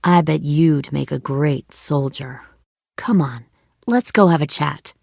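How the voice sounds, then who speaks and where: A woman's voice: low-pitched, slow, vocal fry, darkish tone, no echo, studio quality, exaggerated voice. A woman playing a cold, menacing middle-aged commander of armed survivors in a dramatic video game.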